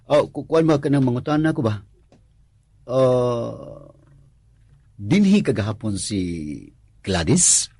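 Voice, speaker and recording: A man playing an easygoing, money-strapped working husband in a Filipino radio drama, husky and questioning, with a Filipino accent. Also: medium pitch, expressive, takes it slowly, long pauses, warm tone, no echo, heavily acted. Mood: puzzled.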